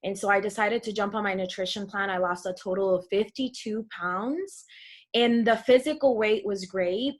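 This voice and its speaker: A woman from Costa Rica, a health coach, sharing a heartfelt personal testimonial on a video call. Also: medium pitch. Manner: earnest, heartfelt, grateful.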